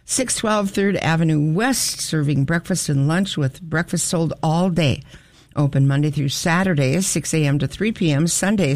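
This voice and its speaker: A woman in her seventies co-hosting a radio show, chatting and reminiscing in a relaxed, friendly way from the studio. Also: lowish voice, no echo. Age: 70s. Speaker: radio co-host. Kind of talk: casual radio chat.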